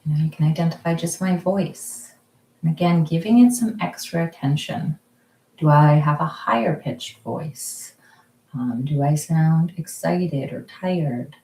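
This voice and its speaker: A woman slowly and calmly leading a guided mindfulness exercise from her kitchen at home, with many pauses. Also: low voice, reverberant, rough recording. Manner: slow, soft, calm guiding with frequent pauses. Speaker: mindfulness group leader. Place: kitchen or dining room at home.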